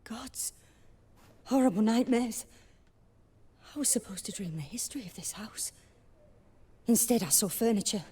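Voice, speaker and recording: Very husky, weary seer. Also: female voice, a little high, lively intonation, warm tone, heavily acted. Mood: shaken, groggy. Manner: formal, measured. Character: weary, shaken, courteous female dream seer. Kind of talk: fantasy video game acting.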